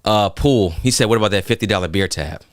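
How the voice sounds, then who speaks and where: A man's voice: lowish voice, quick pace, no room sound, clean studio sound. A man hosting a podcast, pressing his guest and reading viewer comments aloud. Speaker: podcast host. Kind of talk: podcast interview.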